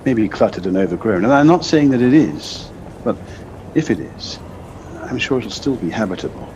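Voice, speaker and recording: Calm husband acted, muffled noisy recording. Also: male voice, fairly low pitch, normal speed, natural voice. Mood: reassuring. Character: calm, supportive, gently teasing husband. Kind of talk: audio play acting.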